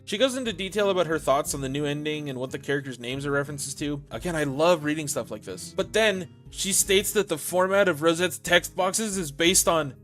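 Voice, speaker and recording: A man's voice: on the high side, a bit bright. A man narrating a story retelling in a scripted style, with dramatic delivery and casual, jokey asides. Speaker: video creator retelling and commenting on a visual novel. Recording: music under the voice. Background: music.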